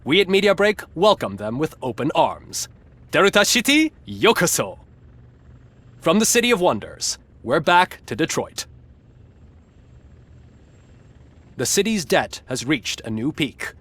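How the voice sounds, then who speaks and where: A man's voice: a little high, big pitch swings, moderate pace, fairly bright tone, no room sound. A man playing a glib, satirical TV news anchor in a video game, with a polished, smugly ironic delivery. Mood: glib, upbeat. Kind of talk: scripted satirical newscast narration.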